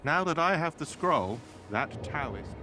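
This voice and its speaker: Theatrical court treasurer, effusive, sly, lively swinging intonation, drawn-out pace, game drama. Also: male voice, fairly high pitch. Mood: theatrical, wistful. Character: effusive, theatrical, self-humbling male treasurer. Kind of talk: game cutscene acting. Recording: music behind.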